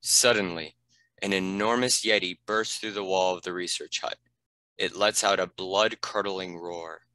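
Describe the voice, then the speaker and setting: Deep, bright-toned male script narrator. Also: fairly even intonation, measured pace, no echo, low-quality recording. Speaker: narrator reading stage directions.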